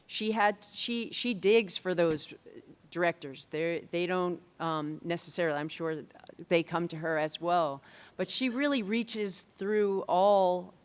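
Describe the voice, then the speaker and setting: A woman director answering questions on a convention panel, thoughtful and pausing often with fillers. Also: deep voice, clear, resonant tone. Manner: thoughtful, many fillers and restarts.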